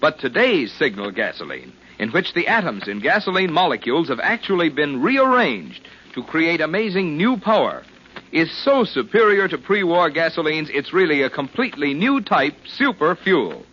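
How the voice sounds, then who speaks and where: A male 1940s radio announcer with a bright, slightly high voice and very lively intonation. He delivers scripted copy like an emphatic salesman. The old recording is muffled. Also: normal speed, slight background noise.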